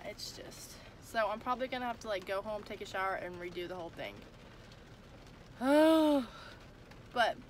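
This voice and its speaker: A woman's voice: mid-range voice, fairly bright tone, slight background noise, poor audio. A young woman, congested from a cold, chatting to a phone camera held at arm's length in a car, tired and rambling. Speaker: family vlogger. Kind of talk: casual chat to camera. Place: inside a parked car.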